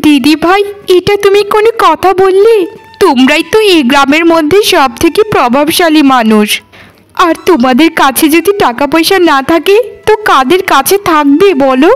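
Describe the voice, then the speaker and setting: Cartoon role: humiliated, dignified poor mother performed by a woman, very high voice, begging with reproach. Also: normal speed, natural voice. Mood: hurt, desperate. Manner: pleading, reproachful. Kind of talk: Bengali cartoon dialogue.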